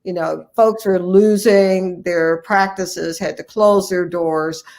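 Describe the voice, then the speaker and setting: A woman's voice: on the low side, fairly even intonation, slow pace, a little mellow. An American woman, a physician guest, giving earnest, emphatic and conversational answers in an interview. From United States, Ohio. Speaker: physician guest and medical association leader.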